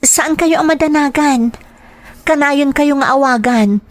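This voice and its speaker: A woman's voice: sits high, a little slow. A woman with a Filipino accent playing a dutiful, earnest grown daughter in a radio drama. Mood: reassuring. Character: dutiful, grieving grown daughter telling her story. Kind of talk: radio drama acting, slow narration.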